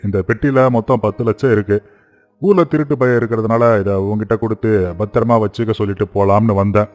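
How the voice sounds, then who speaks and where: A man's voice: lowish voice, a little mellow, lightly acted. A man voicing a kindly, shrewd rich farmer and employer in a cartoon moral story, straightforward and concerned.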